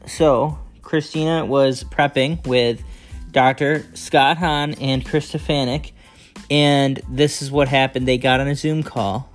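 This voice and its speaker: A man hosting a podcast, chatting casually with a loud, resonant voice, recorded on a phone in a living room. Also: medium pitch, steady tone, takes it slowly, soft background music. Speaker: podcast host. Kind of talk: casual chat.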